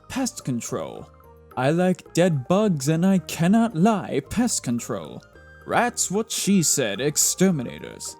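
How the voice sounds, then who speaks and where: Animated, jokey male narrator over music. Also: a little high. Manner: jokey, chatty, theatrical. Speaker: narrator of a fan facts video. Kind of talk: scripted narration with playful asides. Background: background music.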